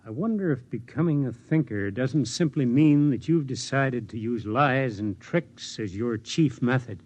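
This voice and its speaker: A man playing a calm, skeptical character in a 1950s radio drama, measured, wry and gently reproving. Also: medium pitch, close to an everyday voice. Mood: wry, disapproving.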